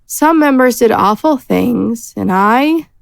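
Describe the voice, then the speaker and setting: A woman's voice: fairly high pitch, lively intonation, slow, gravelly, no room sound, exaggerated voice. A woman playing a former bandit in a fantasy audio drama, candid, defensive and unashamed. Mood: frank, defensive. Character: frank, defiant female former bandit.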